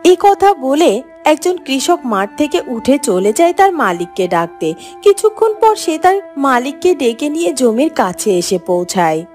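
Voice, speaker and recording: A woman's voice: high voice, very animated, measured pace, darkish tone, soft background music. A woman narrating a children's fairy tale from a script.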